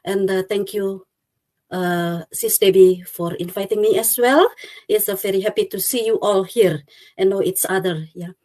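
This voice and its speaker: Woman from Indonesia, guest, middle pitch, hesitant with fillers. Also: steady tone, moderate pace, darkish tone. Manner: hesitant, many uhs. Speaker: IT consultant, guest. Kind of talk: casual self-introduction.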